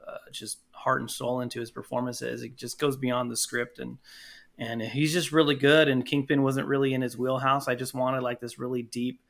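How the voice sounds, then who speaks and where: A man's voice: mid-range voice, little pitch movement, normal speed, gravelly. A man speaking as a podcast guest in a casual interview, talking about his production work.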